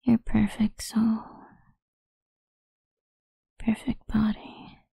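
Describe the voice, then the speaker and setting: A woman playing a possessive, seductive goth vampire idol in an intimate, breathy ASMR whisper, close to the microphone. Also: a little high, completely flat delivery, drawn-out words, warm tone, no echo, heavily acted. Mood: adoring.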